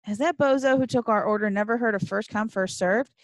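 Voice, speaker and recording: A woman's voice: mid-range voice, brisk, dry recording, lightly acted. A woman voicing a brash, melodramatic seventh-grade boy who gripes theatrically in slangy audiobook dialogue. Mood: aggrieved, theatrical.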